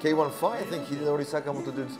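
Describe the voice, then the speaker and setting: A man giving jovial, animated live kickboxing commentary as the show's host. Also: a little high, rapid-fire. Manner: animated, jovial, chuckling often. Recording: loud music under commentary. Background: loud music.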